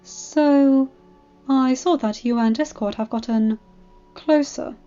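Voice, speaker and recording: A woman's voice: high-pitched, slow pace, warm tone, faint music behind, voice pushed up. Elegant, theatrical fashionista mare, woman voicing; lo-fi recording. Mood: dramatic. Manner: theatrical and emotional. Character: elegant dramatic female unicorn fashionista.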